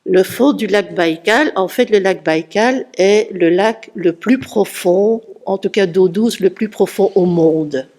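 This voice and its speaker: A woman presenting and interviewing a poet, calmly explaining his background in a measured way. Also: medium pitch. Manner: measured, explanatory, reading out a biography. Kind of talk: literary presentation.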